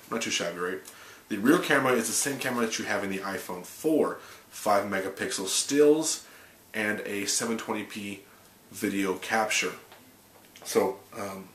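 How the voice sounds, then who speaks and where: A man's voice: on the low side, fairly bright tone. Stuffy-nosed guy recapping tech news. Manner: chatty, upbeat, reads from notes with restarts. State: stuffy from a cold. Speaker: tech video host. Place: small home office room. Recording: echoey room, faint room hum.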